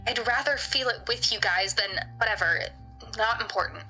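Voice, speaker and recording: A woman playing a blunt, analytical young woman in an audio drama, speaking deliberately. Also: fairly high pitch, bright, forceful tone, soft background music, rough recording.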